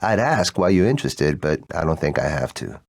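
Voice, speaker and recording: Older male mechanic for a game: low-pitched, folksy, teasing, relaxed old-friend manner. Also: fairly quick, gravelly, heavily acted. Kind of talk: game cutscene dialogue.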